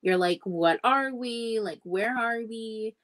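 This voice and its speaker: A woman's voice: on the high side, takes it slowly, fairly bright tone. A young woman co-hosting a casual book chat on a video call, speaking informally and restating her phrases. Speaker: book club livestream co-host. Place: indoor room at home, on a video call.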